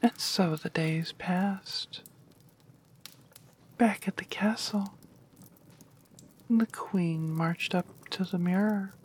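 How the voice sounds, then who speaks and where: Slow whispering woman reading a fairy tale. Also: on the low side, a little hiss or hum. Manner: soft unvoiced whisper. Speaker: storyteller. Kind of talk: whispered bedtime story reading.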